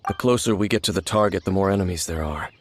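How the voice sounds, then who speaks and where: An actor playing an aloof, guarded wandering swordsman in a video game, speaking in a grave, measured, terse manner. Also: sits low, heavy background noise, close to an everyday voice. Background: game music.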